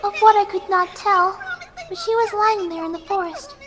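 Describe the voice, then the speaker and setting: A woman voicing a gentle, soft-spoken young female pegasus pony who is serenely deranged, in a horror fan fiction reading. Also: very high-pitched, pitched above the performer's own voice. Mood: serene rapture. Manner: gentle, sweet, unhurried while menacing. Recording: music behind the voice.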